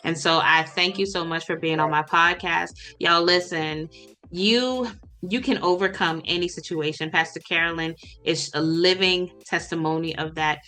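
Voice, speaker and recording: Woman podcast host, bright edgy tone, enthusiastic and encouraging. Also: fairly low pitch, fairly even intonation, moderate pace, faint music behind. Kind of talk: casual interview talk.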